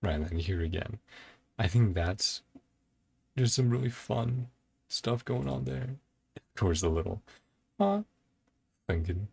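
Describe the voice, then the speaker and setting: A man's voice: lowish voice, sing-song, unhurried, creaky, long pauses, mellow, breathy edge. A man giving casual, off-the-cuff reaction commentary, thinking aloud in short broken phrases. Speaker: host of a reaction and ranking video. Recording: music playing behind the voice.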